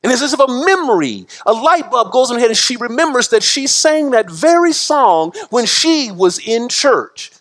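A middle-aged man preaching a sermon from a church pulpit, impassioned and full of repetition, his pitch and loudness swinging widely. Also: sing-song, normal speed, few pauses. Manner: gestures broadly while preaching at a pulpit. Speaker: pastor. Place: church sanctuary.

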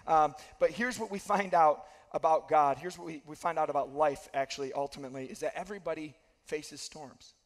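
A man in his thirties preaches from a large church stage, his fairly high voice worn a little hoarse. He speaks earnestly and drives points home by repeating them. The sound carries a long reverb from the hall around him. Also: a little fast, few pauses. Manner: earnest, repeats key phrases for emphasis. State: losing his voice, slightly hoarse. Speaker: pastor. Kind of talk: sermon.

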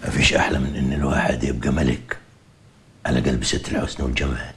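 An actor playing a troubled, weary but tender man with an Egyptian accent in a TV drama, brooding and halting in manner. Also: extremely low pitch, fairly even intonation, a bit bright, a little hiss or hum. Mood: tender, romantic. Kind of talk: TV drama acting. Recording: music under the dialogue.

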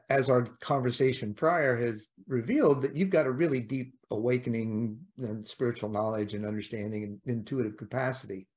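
A man's voice: mid-range voice, a little mellow. A middle-aged man hosting a podcast, asking long rambling questions over a video call. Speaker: podcast host. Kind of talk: casual interview chat.

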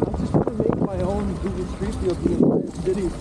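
High-voiced American man over loud wind noise. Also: fairly even intonation, quick pace. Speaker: vlogger. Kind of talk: casual chat while riding. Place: outdoors on a wooded bike trail. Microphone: action camera mounted on the bike. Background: wind and bike rumble.

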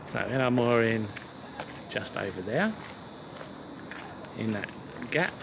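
Man, deep-ish voice, chatty vlog narration, noisy riverside outdoor recording. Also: lively intonation, slow pace, creaky. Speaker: vlog host. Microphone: handheld camera, operator behind it.

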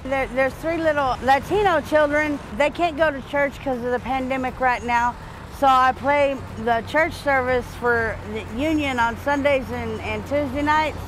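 An older woman telling her story plainly and loudly in an outdoor interview, often repeating words as she starts a thought. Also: high voice, steady tone, normal speed, a little hiss or hum, poor audio. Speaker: church volunteer. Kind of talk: personal testimony interview. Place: outdoors in front of a church.

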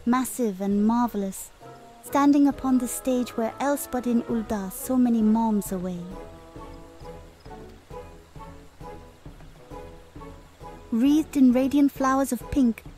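A woman voicing a gentle, dreamy festival mistress in a fantasy game, with flowery, gracious phrasing. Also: a little high, moderate pace, soft background music, close to an everyday voice. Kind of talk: scripted fantasy game dialogue.

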